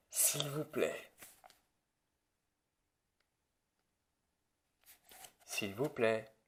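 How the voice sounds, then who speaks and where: A man playing an irritable, bossy film director in an improvised parody roleplay, alternating hesitant muttering with curt, sarcastic orders. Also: mid-range voice. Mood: impatient. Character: irritable, bossy male film director running a prep meeting.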